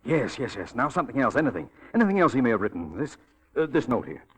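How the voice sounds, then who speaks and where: An actor playing an earnest, insistent detective in 1940s radio drama style, with a clipped, commanding, deliberate delivery. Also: male voice, mid-range voice, very animated, husky. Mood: urgent, revelatory. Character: earnest, insistent male detective, urgent and protective.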